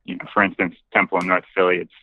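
A man's voice: sits low, completely flat delivery, very fast. A man chatting casually as a podcast guest over a remote call with muffled sound. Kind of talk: casual chat. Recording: dull band-limited remote call.

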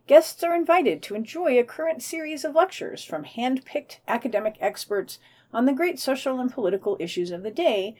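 A woman's voice: a little high, mellow. A woman co-hosting a podcast, reading scripted news items and quotes with wry commentary. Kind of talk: scripted narration with read quotes and brief commentary.

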